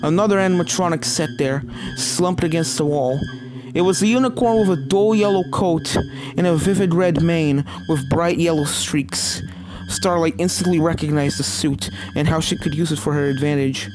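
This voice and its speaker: A woman's voice: deep voice, normal speed, over music, low-quality recording. A woman reading fan fiction aloud as scripted story narration.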